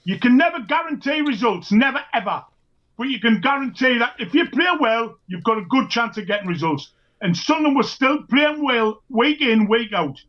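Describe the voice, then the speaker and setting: A man's voice: very high voice, expressive, moderate pace. A man co-hosting a casual fan chat, making firm, emphatic points. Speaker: co-host. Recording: dull lo-fi line.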